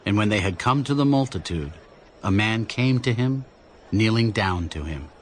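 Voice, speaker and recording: Expressive low-voiced male scripture narrator. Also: normal speed, a little hiss or hum. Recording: loud music behind the voice.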